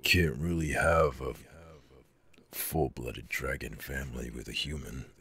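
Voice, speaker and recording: A massive male dragon, earnest, proud and easily flustered, for an ASMR audio roleplay. The voice is extremely low and heavily gravelled, with a hard, sharp edge. He feels his way through sentences slowly, stammering and hesitating. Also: heavily acted. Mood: hesitant, earnest. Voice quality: very deep, gravelly growl with breathy rasp.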